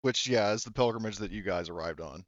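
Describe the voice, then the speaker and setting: A man narrating casually as game master, thinking aloud in a halting way. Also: lowish voice, no room sound, highs cut off.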